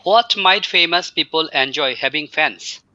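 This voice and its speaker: A man hosting an interview, asking questions in halting, non-native English. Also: a little high, sharp tone, dry recording, lo-fi sound. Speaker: host.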